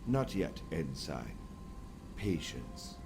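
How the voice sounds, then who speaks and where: An actor playing a calm, calculating alien naval lieutenant who speaks in a measured, formal, commanding way in an audio comic dub. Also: male voice, extremely low pitch, creaky, noisy background, full character voice.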